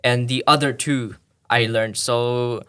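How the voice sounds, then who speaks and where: A man's voice: mid-range voice, steady tone, slow, a bit bright, clean tone. A man from Tanzania, a podcast guest, explaining at length in a thoughtful way with frequent restarts. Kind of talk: explanatory conversational interview.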